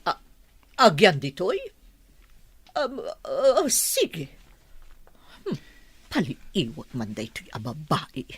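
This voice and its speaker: A woman's voice: deep voice, wildly swooping pitch, drawn-out words, pauses often, husky, heavily acted. A woman playing a suspicious, haughty mother of a grown son, scolding and nagging in an audio drama. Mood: disapproving. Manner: sharp, nagging, exclamatory. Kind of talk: melodramatic audio drama acting.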